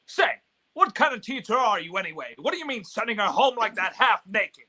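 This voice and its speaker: A man's voice: high-pitched, very animated, fairly quick, pushed and bright, heavily acted. A man playing an agitated, irritable father and husband in a radio play reading, with a stammering, emphatic delivery. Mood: bitter, irritable.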